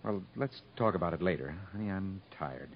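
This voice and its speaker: An actor playing a stubborn, proud rural moonshiner and husband with folksy country talk in a 1950s radio drama. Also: male voice, low-pitched, lively intonation, brisk. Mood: weary, contrite. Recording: muffled 1950s radio broadcast recording.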